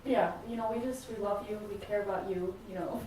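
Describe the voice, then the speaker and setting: A woman playing a concerned, caring mother in a family therapy role-play, speaking earnestly and haltingly. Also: mid-range voice, a little mellow, lightly acted. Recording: echoey room, distant mic, faint noise.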